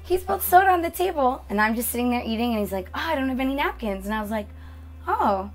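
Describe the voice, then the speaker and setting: A young woman with a raspy voice chatting with her husband, teasing and playful as she tells stories. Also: a little high, animated, quick pace. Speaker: co-host of a couple's channel. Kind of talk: casual Q&A chat.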